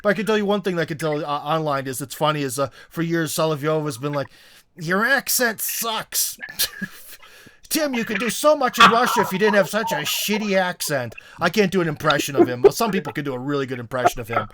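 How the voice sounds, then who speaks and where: A man's voice: a little high, lively intonation, brisk, heavy background noise. A man hosting an interview in a casual, chummy way, with plenty of fillers and asides. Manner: laid-back, chummy, with filler-heavy asides. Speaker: show host.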